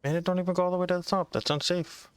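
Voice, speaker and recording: A man's voice: high voice, fairly even intonation, very fast, smooth, no room sound. Casual sardonic man commentating on gameplay. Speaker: gamer playing and commenting.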